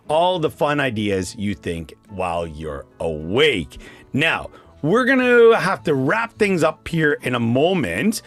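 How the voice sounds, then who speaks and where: A Canadian man hosting a children's radio show, chatting casually and encouragingly and putting quiz questions to young listeners. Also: a little high. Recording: music playing behind the voice.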